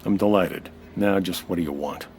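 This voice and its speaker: An actor playing a suspicious, hard-boiled American nightclub owner who narrates in a wry, terse radio noir style. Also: male voice, low-pitched, moderate pace, a little mellow, slight background noise. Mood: gruff, demanding. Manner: wry, terse, tough-talking. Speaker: actor playing lead detective-style hero. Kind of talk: old-time radio noir monologue and dialogue.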